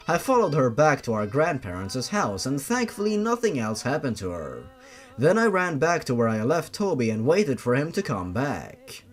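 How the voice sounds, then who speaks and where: A man giving a scripted first-person narration of a fan-fiction style story. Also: medium pitch.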